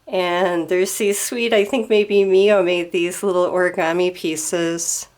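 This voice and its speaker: A woman at home gently explaining a handmade display to a camera, her voice slightly hoarse from a starting cold. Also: fairly low pitch, fairly even intonation. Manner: gentle, unhurried, pausing to explain details. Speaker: student presenting a craft project. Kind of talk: slow show-and-tell explanation. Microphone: camera filming a display.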